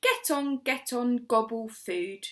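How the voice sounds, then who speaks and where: A woman voicing an eager, confident older man who explains and coaxes in an emphatic, deliberate way, reading from a storybook. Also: on the high side, fairly bright tone. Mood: confident, explaining.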